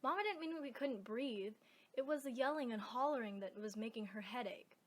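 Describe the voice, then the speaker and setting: A plucky young girl from a radio drama, played by a woman. The voice is very high and earnest, that of a child standing her ground. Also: animated, fairly quick, natural voice. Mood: matter-of-fact.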